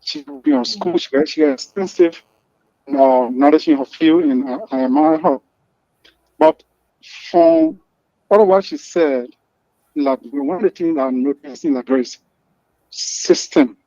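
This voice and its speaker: Mellow-voiced male nurse on muffled line. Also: on the high side, dry recording. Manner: measured, repeats words while building a point. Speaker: caller, nurse. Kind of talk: call-in radio talk.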